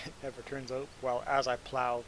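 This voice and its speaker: A man's voice: medium pitch, flat delivery, normal speed, a bit bright, slight background noise, rough recording. A man, an English speaker, chatting casually and self-deprecatingly with viewers as a game streamer. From Belize. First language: Plautdietsch (Mennonite Low German). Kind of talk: casual live-stream chat.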